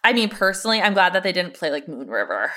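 A woman with a bright, forceful voice and very lively intonation co-hosts a TV recap. She chats casually and enthusiastically, gushing about what she likes and swearing as she goes. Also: fairly low pitch, fast. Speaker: podcast co-host.

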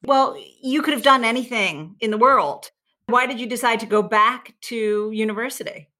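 Bright eager woman host. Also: fairly high pitch, normal speed. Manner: enthusiastic, stumbling restarts in questions. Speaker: interview host. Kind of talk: casual conversational interview.

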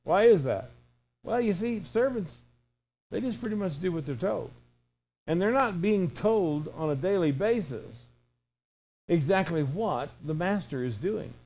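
A man's voice: sits high, animated, measured pace, a little mellow, a little hiss or hum, poor audio. A man in his sixties preaching an informal, conversational sermon in a folksy way, with emphatic repetition and imagined dialogue. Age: 60s.

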